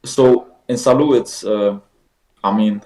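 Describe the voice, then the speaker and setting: A man's voice: fairly low pitch, slow, low-quality recording. Laid-back young man from Belgium. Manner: relaxed, lots of fillers like "like" and "uh". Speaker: American football player, guest. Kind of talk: casual interview.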